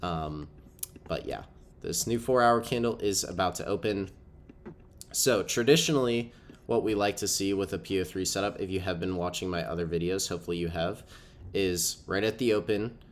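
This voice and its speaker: Stuffy-nosed man with a very low voice. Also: a little hiss or hum. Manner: casual, filler-heavy explaining with frequent ums. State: stuffy from a cold. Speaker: trading educator. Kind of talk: screen-share trading walkthrough.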